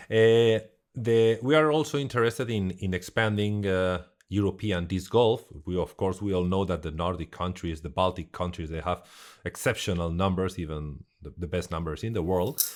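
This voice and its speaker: Halting low-voiced male host. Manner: halting, rephrases questions, many fillers. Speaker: interview host. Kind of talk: interview hosting.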